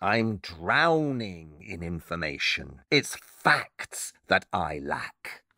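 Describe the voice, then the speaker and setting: A precise, animated pedantic detective. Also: male voice, low-pitched, extremely slow, no echo, clean studio sound, exaggerated voice. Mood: dry, preoccupied. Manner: precise, didactic, emphatic. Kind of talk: scripted audio drama dialogue.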